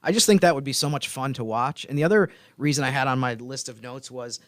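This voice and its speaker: Mid-pitched man analyzing sports, dry sound. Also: fast talker, fairly bright tone, dry recording. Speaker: podcast co-host. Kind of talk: casual sports analysis chat.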